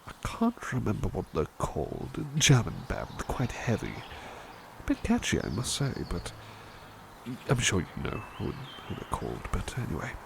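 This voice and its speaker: A man voicing a doting butler in a soft-spoken ASMR roleplay, talking gently and soothingly with hesitant restarts. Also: medium pitch, wildly swooping pitch, normal speed, creaky, slight background noise.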